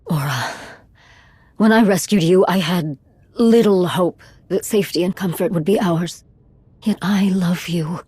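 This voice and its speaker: Game casting: devoted, resolute fugitive, lowish, husky, measured, dry recording. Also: female voice, dark tone, no echo. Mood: tender, resolute. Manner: measured, earnest, reassuring. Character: steadfast, devoted female fugitive, soothing and resolute. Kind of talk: video game voice acting.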